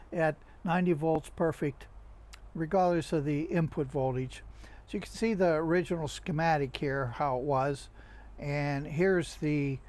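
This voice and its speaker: Higher-voiced man in casual how-to narration. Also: a little mellow. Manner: halting, conversational, unscripted. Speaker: hobbyist radio restorer and presenter.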